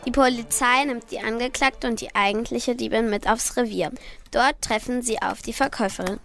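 A woman's voice: fairly high pitch, normal speed, vocal fry, fairly bright tone, soft background music. A young girl narrating a crime radio play from a script. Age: child about 10 to 13.

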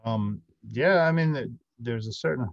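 A middle-aged man speaking haltingly and off the cuff as a panelist on a video call. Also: medium pitch, creaky. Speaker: panelist, audio drama writer. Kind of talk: unscripted panel discussion. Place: indoor room, video call. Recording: roomy, muffled video call audio.

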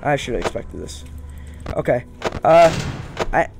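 Young man gaming, gravelly creaky voice. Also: medium pitch, very animated, measured pace. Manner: repeats phrases, thinking aloud. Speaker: gaming YouTuber. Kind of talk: casual let's-play commentary. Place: small room indoors at home. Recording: loud game music behind voice.

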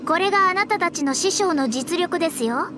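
A woman's voice: extremely high pitch, animated, brisk, noisy background. A woman playing a proud, confident young female astrologer in an anime game, speaking politely with a boastful, self-assured manner. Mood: smug. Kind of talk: anime game character dialogue. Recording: background music under clean voice.

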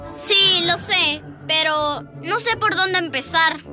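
A woman's voice: very high-pitched, slow pace. A woman voicing an earnest, chatty young schoolboy in a children's cartoon dub. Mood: eager. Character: eager, curious young schoolboy. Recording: music behind the voice.

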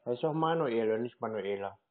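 A man, teasing a phone scammer in a playful, deadpan way. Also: medium pitch, a little fast, narrow, tinny audio, soft background music. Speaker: channel host baiting a phone scammer. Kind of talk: casual prank phone call.

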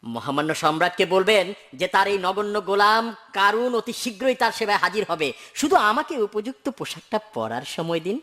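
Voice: mid-range voice, animated, a little fast, a bit bright, close to an everyday voice. An actor playing a greedy, fawning and ambitious man in a dubbed cartoon, scheming and obsequious in manner. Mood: eager, fawning. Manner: scheming, obsequious, self-congratulating.

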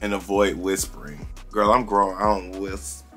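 A man's voice: low-pitched, normal speed, a bit bright, soft background music. A young man vlogging casually to camera in a small room, chatty and sassy, his voice strained as if he is losing it. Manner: chatty, sassy, addresses viewers as girl and y'all. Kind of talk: casual vlog chat to camera.

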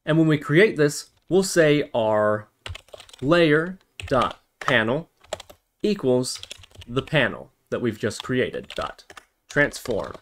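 A man's voice: medium pitch, very animated, slow pace, slight background noise. A man narrating a programming tutorial, explaining step by step and thinking aloud as he goes. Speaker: tutorial host.

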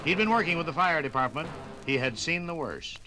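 An American man reads scripted narration for a 1950s radio documentary. His voice sits somewhat high, with dramatic, deliberate phrasing over clearly audible music. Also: expressive.

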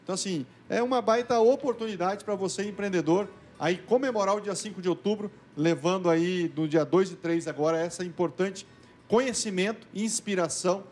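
Brisk, high-voiced man. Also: faint music behind. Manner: energetic, promotional, fast-flowing. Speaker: event organizer guest. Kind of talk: live TV studio interview. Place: TV news studio. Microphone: handheld mic.

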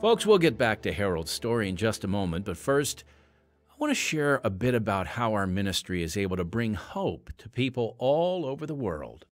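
A man's voice: lowish voice, no room sound, studio quality. A man hosting, making an earnest, conversational donation appeal to listeners. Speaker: host.

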